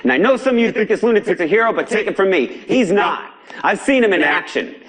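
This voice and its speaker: A man's voice: high voice, lively intonation, fast, fairly bright tone. A man with an American accent voicing a fiery, angry and defiant underground broadcaster in a video game, speaking in an emphatic, streetwise, preachy way. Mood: scornful. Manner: emphatic, streetwise, preachy, swings between outrage and gravity. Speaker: pirate broadcaster. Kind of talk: video game voice acting, pirate broadcast monologue.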